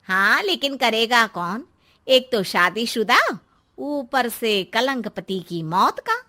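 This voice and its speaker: Woman playing a sharp, gossiping neighbour. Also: fairly high pitch, very animated, slow pace, full character voice.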